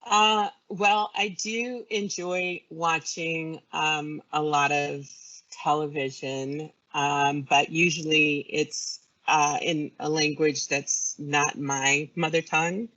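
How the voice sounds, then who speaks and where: A woman speaking as a guest in a casual interview, hesitant and pausing mid-sentence. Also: low-pitched, fairly even intonation, fairly bright tone, highs cut off. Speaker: guest, creative services business owner.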